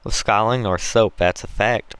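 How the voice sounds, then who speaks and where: Man acting as brutal Southern planter, boastful, blunt, folksy dialect, low resonant voice, storybook character. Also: moderate pace, fairly bright tone, clear, resonant tone, no echo, natural voice. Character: brutal, boastful, coarse male Southern slave owner.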